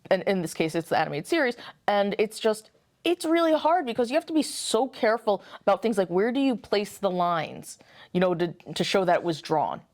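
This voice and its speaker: A woman co-hosting a review in a casual, expressive, gushing chat style. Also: on the low side. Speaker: toy review co-host.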